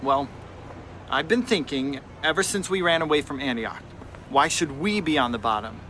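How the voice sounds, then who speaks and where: A man's voice: fairly high pitch, normal speed, a bit bright, a little hiss or hum, close to an everyday voice. A man playing a cynical, ambitious young runaway slave, brash and dismissive, in a family audio drama. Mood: scheming, determined.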